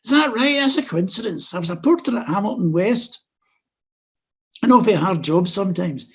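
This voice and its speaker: Man putting on an anxious Scottish fellow near forty, high-pitched and loud, rattling on headlong; gravelly, dull lo-fi sound. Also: animated, moderate pace, exaggerated voice. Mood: eager. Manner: headlong, urgent, breathless monologue.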